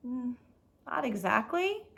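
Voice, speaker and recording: A woman's voice: fairly high pitch, big pitch swings, takes it slowly, creaky, a bit bright, slightly rough, pitched above the performer's own voice, exaggerated voice. Woman reading as an earnest, worried village father. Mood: sheepish.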